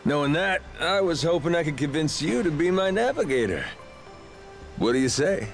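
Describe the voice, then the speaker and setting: A confident pirate captain for a video game, pitched a bit high for a man. He is casual, cocky and persuasive, his voice moving up and down a lot over music. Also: very animated, moderate pace. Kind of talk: dramatic video game voice acting.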